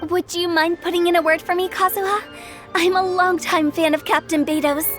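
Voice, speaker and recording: A woman's voice: very high voice, steady tone, normal speed, soft background music. A woman playing a sweet, earnest, polite young admirer in an anime video game. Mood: hopeful, admiring.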